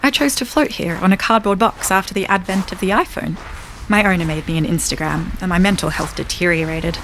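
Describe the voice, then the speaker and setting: A woman's voice: fairly low pitch, fairly quick, a bit bright, slight background noise. A woman playing a wise, self-assured talking poodle prophet in a comedic audio drama, speaking with grand, kindly earnestness. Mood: calm, assured. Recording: music behind.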